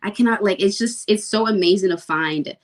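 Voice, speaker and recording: A woman's voice: medium pitch, brisk. A young adult woman hosting a podcast, enthusiastic and loose, with many fillers. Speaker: podcast host.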